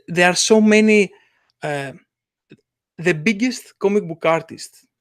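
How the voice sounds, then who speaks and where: An enthusiastic man in casual chat, pausing often on fillers as he searches for words. His voice sits fairly high for a man and sounds close and dry, with almost no room. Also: dry recording. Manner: halting, many "uh" fillers, enthusiastic. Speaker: podcast co-host, comic artist.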